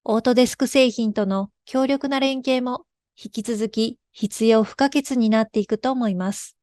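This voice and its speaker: A woman's voice: on the high side, normal speed, a little mellow, dry recording, studio quality. A woman narrating a scripted company presentation as a game developer, in a formal, polite manner.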